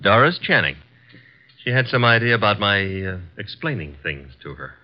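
An actor playing a wry, cocky young male assistant detective, with a brisk, wisecracking delivery in 1950s radio drama style. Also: on the low side, big pitch swings. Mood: wry banter. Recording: dull lo-fi 1950 radio transcription.

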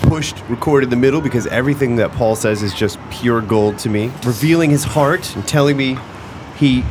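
Brief: man host, guitarist, easygoing and jokey, mid-pitched, steady noise behind the voice. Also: normal speed. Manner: chatty, jokey, finishes the guest's sentences. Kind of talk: casual conversation.

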